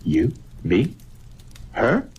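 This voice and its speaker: A man's voice: mid-range voice, huge pitch swings, extremely slow, darkish tone, a little hiss or hum, full character voice. A man acting a cornered, smug and defiant murderer in an old-time radio drama. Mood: defiant, desperate.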